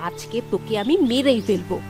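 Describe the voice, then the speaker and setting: A woman's voice: a little high, expressive, normal speed, over music, close to an everyday voice. A woman voicing a cruel village aunt in a children's cartoon, scolding sharply and sarcastically. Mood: harsh, threatening. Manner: harsh, sarcastic, shouting scolding.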